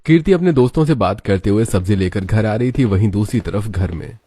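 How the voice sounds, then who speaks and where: A man's voice: on the low side, expressive, brisk, dark tone, husky. A man narrating a scripted cartoon story as its storyteller.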